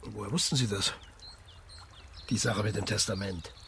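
A man's voice: lowish voice, fairly even intonation, moderate pace, vocal fry, bright, forceful tone, slight background noise. A man playing a patient, matter-of-fact Italian police marshal questioning a witness in a German radio crime play. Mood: probing. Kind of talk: naturalistic German radio crime drama acting.

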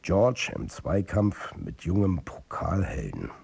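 A man's voice: deep voice, sing-song, slow, gravelly, darkish tone, slightly rough, exaggerated voice. An actor playing a troubled football coach and family man, narrating his own story in a confiding way in a radio drama. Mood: brooding, subdued. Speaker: narrator and lead character.

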